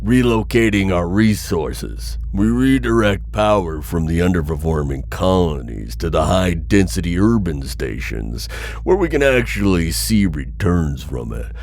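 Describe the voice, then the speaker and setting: A man's voice: deep voice, lively intonation, measured pace, gravelly, a little mellow, a little hiss or hum, full character voice. A man playing a cold, pragmatic corporate executive in an audio drama, speaking in a clinical, dismissive way. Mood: cold, matter-of-fact. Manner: clinical, matter-of-fact, dismissive. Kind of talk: audio roleplay acting, boardroom recording.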